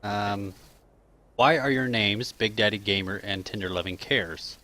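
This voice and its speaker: Bright-voiced easygoing man in his 30s. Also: on the low side. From Kansas, US. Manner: easygoing, drawn-out fillers and quick chuckles. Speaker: streamer asking Q&A questions. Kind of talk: casual live-stream chat.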